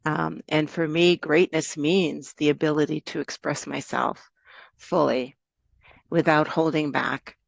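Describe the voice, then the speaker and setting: An American woman gives her introduction as a summit guest. Her voice is gravelly and dry, with no room sound around it. She talks in an earnest, grateful and slightly meandering way. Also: low-pitched, fairly even intonation, a little slow, dry recording. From United States. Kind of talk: self-introduction on a video call.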